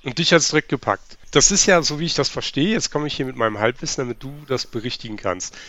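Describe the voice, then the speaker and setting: A man's voice: mid-range voice, animated, fast, bright, forceful tone, dry recording. Male podcast host, inquisitive, careful recapping, dry close-mic sound. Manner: inquisitive, recaps to check understanding. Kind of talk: casual podcast chat.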